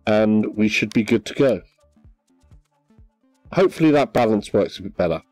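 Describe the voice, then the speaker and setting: A man chatting casually on a VR game livestream, relaxed and hesitant, with many ums. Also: lowish voice, moderate pace, dry recording, faint music behind. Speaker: livestream gamer.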